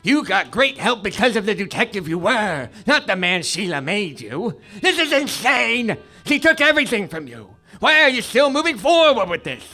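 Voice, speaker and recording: A man's voice: high-pitched, very animated, moderate pace, hardly any pauses, bright, forceful tone, full character voice. An actor playing the narrator's scolding father, a vision in his head, in a live comedy radio play, pleading urgently. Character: ghostly father figure, older man, scolding.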